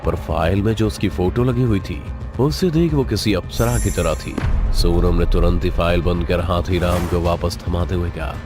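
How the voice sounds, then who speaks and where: A man's voice: low voice, normal speed, music in the background. A man giving a scripted, dramatic narration of a horror story for a cartoon.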